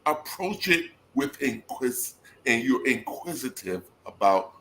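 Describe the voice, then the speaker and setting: A man with a deep bellowing voice, a parent giving emphatic advice in a casual panel discussion. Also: animated, slow, a bit bright, slight background noise.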